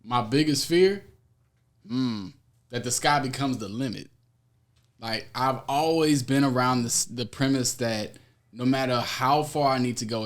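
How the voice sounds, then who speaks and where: A young American man chatting casually as a guest, slangy, emphatic and expressive in how he talks. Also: medium pitch. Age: young adult. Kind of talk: casual conversation.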